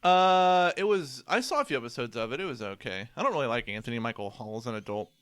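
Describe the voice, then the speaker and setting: Male co-host, bright animated delivery, casual podcast chat. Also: mid-range voice, fast, clear, resonant tone, dry recording.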